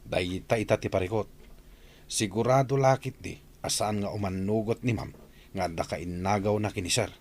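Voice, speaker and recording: Guy acting a nosy obedient security guard, lowish voice, bit of noise, audio drama. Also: normal speed, a little mellow, natural voice. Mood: curious. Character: dutiful, inquisitive male security guard.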